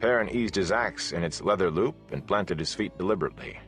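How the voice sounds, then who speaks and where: Low voiced guy reading a fantasy audiobook, measured dramatic storyteller delivery, bit of music under it. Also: fairly bright tone. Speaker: audiobook narrator. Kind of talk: scripted fantasy novel narration.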